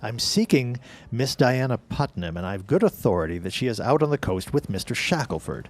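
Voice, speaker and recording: A man's voice: mid-range voice, very animated, fairly quick, a little mellow. A man acting a polite, persistent newspaper city editor in a 1910s period audio drama, speaking in a formal, courteous, probing way. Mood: probing, needling.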